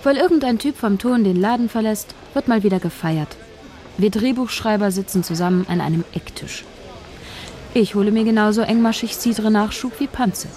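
A shy young woman scriptwriter narrates her own thoughts in a radio play, voiced by an actress. Her delivery is quiet and self-doubting, in a mellow, soft tone at a middle pitch. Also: moderate pace, faint music behind, lightly acted.

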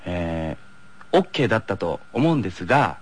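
A man's voice: sits low, very animated, moderate pace. A man hosting a radio show in a playful, casual game-show chat style.

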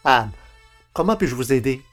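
A man's voice: medium pitch, lively intonation, normal speed, fairly bright tone, soft background music, lightly acted. A man playing an eager, pushy novice bookshop clerk with glib sales patter, in the style of old radio comedy. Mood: polite, curious.

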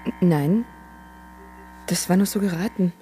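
An actress playing a bemused captive radio presenter, hesitant and dryly incredulous, in a radio drama. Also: female voice, lowish voice, a little slow, mellow, soft background music, lightly acted. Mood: sceptical, complaining.

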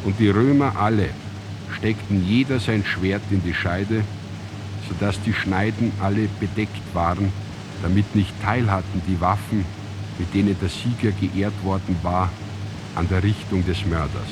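Solemn narrator of ancient tragedy, lowish voice, drawn-out measured recitation, radio drama, clear music underneath. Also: male voice, steady tone, poor audio. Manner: measured, grave, declamatory.

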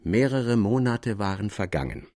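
A man narrating a literary tale with articulate, expressive delivery. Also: fairly low pitch, moderate pace, no room sound, studio quality.

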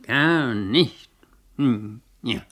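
An actor playing a kindly elderly legal adviser in a radio play, with a husky, creaky voice and a slow, hesitant, ruminating manner. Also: male voice, mid-range voice, a bit bright. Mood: genial, musing.

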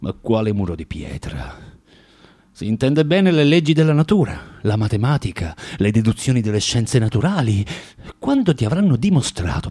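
Husky, tormented underground man. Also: fairly low pitch, dark tone, full character voice. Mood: brooding, sardonic. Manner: halting, repeating words, swinging from muttering to outbursts. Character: bitter, tormented forty-year-old underground man, spiteful and self-contradicting. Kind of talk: theatrical radio drama monologue.

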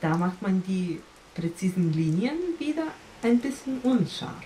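A woman's voice: lowish voice, animated, a little slow, dark tone, slight background noise. A woman, a musician, explaining her thoughts on music in a conversational way.

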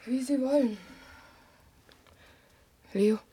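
A woman's voice: sits high, extremely slow, dark tone, breathy edge, full character voice. A woman playing an impatient, curt and wry householder in a German radio play.

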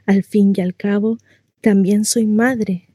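A woman's voice: medium pitch, steady tone, slow, mellow, husky, no echo. A woman acting a naive, chatty housewife shut in at home, confiding in a neighbour in a rambling radio monologue. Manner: confiding, rambling, conversational storytelling with rhetorical questions.

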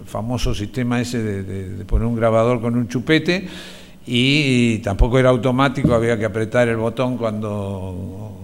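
An Argentine man giving personal testimony in a halting, hesitant way. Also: medium pitch, vocal fry, a little hiss or hum. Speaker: interviewee, brother recounting his sister's calls.

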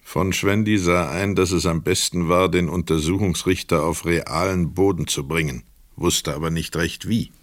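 A man narrating a literary crime radio play in a measured, sober, scripted delivery. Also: low voice, steady tone, no echo.

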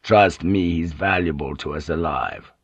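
A man's voice: deep voice, unhurried, husky, no echo, highs cut off, pitched below the performer's own voice, exaggerated voice. A man voicing a wily Japanese lord, urgently persuasive and conspiratorial. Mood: low, persuasive.

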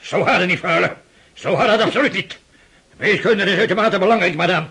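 A man's voice: sits high, a little fast, bright, forceful tone, husky, exaggerated voice. An actor playing a stern, sarcastic older aristocratic father in a Dutch radio drama, brusque, impatient and mocking. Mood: scornful, lecturing. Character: stern, sarcastic aristocratic father drilling his daughter in mathematics.

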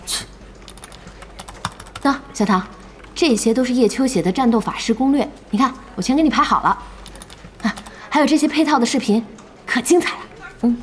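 A woman acting a brash, bossy young internet-cafe boss and game fangirl in an audio drama, blunt, animated and teasing. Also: a little high, normal speed, long pauses, a little hiss or hum, lightly acted. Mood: dismissive. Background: music behind the voice.

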